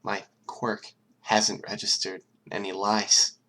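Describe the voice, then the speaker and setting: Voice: low voice, flat delivery, slow, bright, forceful tone, slight background noise, full character voice. A reader voicing a tense, dutiful male police detective on a phone call.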